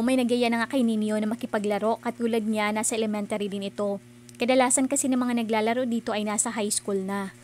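A woman's voice: fairly high pitch, a little mellow, slight background noise. A middle-aged woman, narrating her own vlog in a chatty, earnest, encouraging voice-over. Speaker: vlogger.

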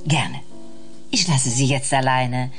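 A woman's voice: very deep voice, expressive, takes it slowly, breathy edge, soft background music, full character voice. An actress playing a composed minister's wife and mother in a radio drama, polite but pointed. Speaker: actor in a radio play. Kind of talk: German radio drama dialogue.

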